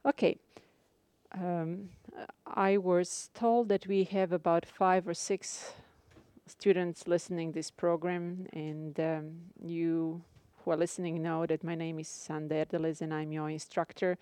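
A woman with a Croatian accent lectures her class over a live broadcast, speaking carefully and pausing often on fillers. Her voice is mellow, low and smooth. The studio sound is dry and close. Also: little pitch movement, dry recording. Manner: careful, measured, frequent filler pauses. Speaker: instructor. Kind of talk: live radio lecture to students.

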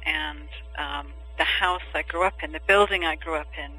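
A man who is a poet, answering podcast interview questions in a thoughtful, hesitant way with many pauses and restarts. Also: fairly high pitch, sharp tone, soft background music, low-quality recording. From England and Germany.

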